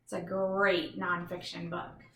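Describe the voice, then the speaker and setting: A woman's voice: on the low side, little pitch movement, measured pace, echoey room, noisy background. A woman reads a children's book aloud like a teacher, enthusiastic and instructive, with chatty explanatory asides to young listeners.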